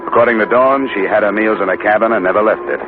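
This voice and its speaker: An actor playing a wry, dogged American investigator who narrates his case in a dry, clipped, deadpan 1950s radio drama style. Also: on the low side, fast, natural voice. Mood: wry, matter-of-fact. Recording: tinny old 1950 radio recording with music.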